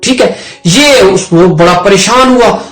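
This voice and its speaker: A man's voice: high voice, unhurried, fairly bright tone. A man with a Pakistani accent giving an informal explanatory law lesson, conversational and often repeating and rephrasing his points. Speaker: advocate and legal educator. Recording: roomy echo, music underneath.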